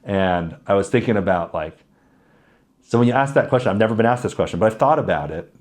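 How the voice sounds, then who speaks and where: Deep raspy guy in his 30s, frank n chatty, telling stories in an interview. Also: animated, fast talker. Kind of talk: reflective conversational interview answers with anecdotes.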